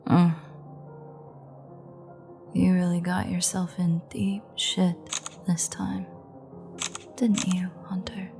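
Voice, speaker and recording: A woman playing a menacing, amused female vampire in an audio roleplay, taunting and sultry with breathy, half-whispered asides. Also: fairly low pitch, little pitch movement, slow pace, long pauses, over music. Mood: mocking. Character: menacing, amused female vampire gloating over a captured hunter.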